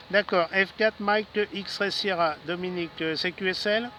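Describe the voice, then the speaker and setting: A man's voice: sits high, steady tone, measured pace, sharp tone, poor audio. A man chatting casually as an amateur radio operator in a friendly way, speaking outdoors in a city. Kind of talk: casual ham radio exchange. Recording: outdoor city ambience and traffic noise.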